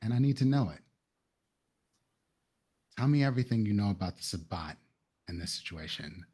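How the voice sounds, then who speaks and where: A man takes part in a tabletop roleplaying game. His voice is a little on the low side. He improvises as his character and talks through what he wants to do. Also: lively intonation, moderate pace, mellow, slightly rough.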